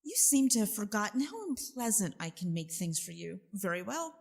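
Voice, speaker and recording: A woman's voice: mid-range voice, sing-song, normal speed, vocal fry. An actress playing a cunning, dangerous lady villain in a comedic audio drama, imperious and menacingly polite in formal diction. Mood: haughty, threatening.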